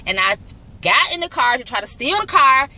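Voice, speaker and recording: A woman's voice: high-pitched, big pitch swings, a little fast, pushed and bright, poor audio. A young adult woman, chatting casually and rambling to camera in her car on the way home. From Birmingham, Alabama, US. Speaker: vlogger.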